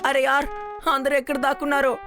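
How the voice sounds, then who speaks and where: A performer voicing an excitable, bossy young cat in a children's cartoon, exclaiming with urgent impatience. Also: sits high, fast talker, music in the background. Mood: searching, puzzled. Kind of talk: animated children's cartoon dubbing.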